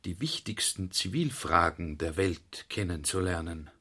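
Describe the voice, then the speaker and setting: A man's voice: low-pitched, a little slow, sharp tone, husky, full character voice. A man voicing a plump, self-satisfied, eager army general in a radio drama. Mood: admiring, eager. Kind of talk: radio drama character speech.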